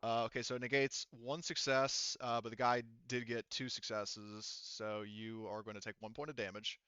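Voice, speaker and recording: A man's voice: medium pitch, little pitch movement, moderate pace, sharp tone, smooth, no room sound, lo-fi sound. A man acting as game master, casually narrating a tabletop game and explaining rules while thinking aloud.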